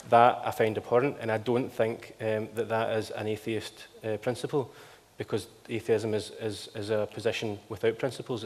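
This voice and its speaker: Hesitant debating man in a reverberant hall. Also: on the low side. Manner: hesitant, many fillers and restarts. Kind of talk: public debate.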